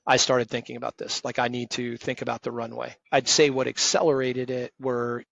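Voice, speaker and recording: A man's voice: mid-range voice, flat delivery, brisk, gravelly, no echo, low-quality recording. A man in his fifties, a retired firm partner, talking directly and emphatically as a guest in an interview. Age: 50s. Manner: emphatic, direct, self-described loud voice. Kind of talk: conversational interview.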